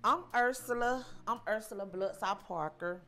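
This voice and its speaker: A woman, from Georgia, telling her life story in an interview, halting and repeating herself with frequent ums. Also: mid-range voice, pushed and bright. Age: 40s. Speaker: interview guest.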